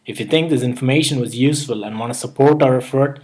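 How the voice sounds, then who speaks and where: A man's voice: mid-range voice, fast, darkish tone. A young man with a mild Indian accent, hosting his own channel and reading earnest, step-by-step advice in a scripted tutorial. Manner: earnest, advice-giving, list-by-list delivery. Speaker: YouTube host.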